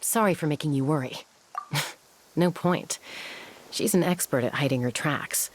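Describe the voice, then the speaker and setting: A woman playing a cool-headed, serious young warrior in a video game, speaking in a measured, matter-of-fact way. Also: deep voice, slight background noise. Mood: subdued.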